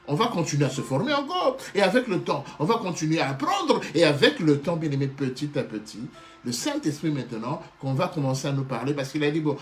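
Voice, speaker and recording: A man preaching a sermon with passion, often stopping to restart and repeat phrases and calling his listeners beloved. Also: on the high side. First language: not Hebrew. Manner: keeps addressing listeners as beloved.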